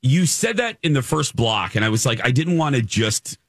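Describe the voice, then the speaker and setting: An American man co-hosting a casual radio chat, with a dramatic, halting delivery and repeated phrases for emphasis. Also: medium pitch, sing-song, no echo, studio quality. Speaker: radio show co-host.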